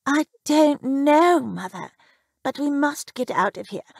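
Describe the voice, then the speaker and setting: A woman voicing a polite, anxious, excitable young daughter in a proper, exclamatory manner for a story. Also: fairly high pitch, slow, gravelly, husky, no room sound, studio quality, exaggerated voice. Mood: distressed.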